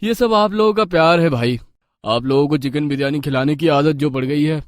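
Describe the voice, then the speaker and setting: A man's voice: a little high, fairly quick, dry recording, clean studio sound, natural voice. A man voicing a greedy, scheming street food seller in a cartoon moral story, theatrical and full of exclamations. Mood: smooth, reassuring. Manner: exclaiming, theatrical, talks to himself. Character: greedy, scheming male biryani seller, wheedling and defensive. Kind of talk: animated Hindi cartoon moral story acting.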